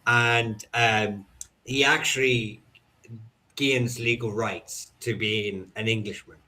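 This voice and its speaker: A man recounting medieval court cases eagerly, with quick asides and restarts. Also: on the low side, steady tone, pushed and bright, poor audio. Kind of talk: casual discussion.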